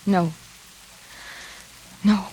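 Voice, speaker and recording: A woman's voice: extremely slow, dark tone, faint music behind, low-quality recording. A woman playing an anxious, dutiful daughter with an earnest, careful manner, in 1940s radio drama style. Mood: fearful questioning. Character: anxious, dutiful librarian daughter, soothing yet defiant. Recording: vintage radio recording.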